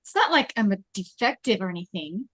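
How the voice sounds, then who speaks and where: A woman's voice: mid-range voice, sing-song, fairly quick, fairly bright tone. A woman playing a spoiled, indignant teenage prom queen in a film script table read.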